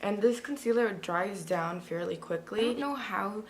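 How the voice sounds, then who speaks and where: A woman reviewing a product in a casual, chatty way, talking straight to her viewers, with a slightly raspy early-morning voice. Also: mid-range voice, normal speed, slight background noise. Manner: chatty, talks to viewers directly. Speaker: beauty vlogger.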